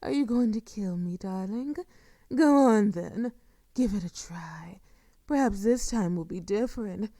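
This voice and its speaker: A woman playing a seductive, sadistic vampire lord in an intimate audio roleplay, with soft murmurs and a theatrical, condescending, drawn-out delivery. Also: medium pitch, dry recording, studio quality, natural voice. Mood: taunting.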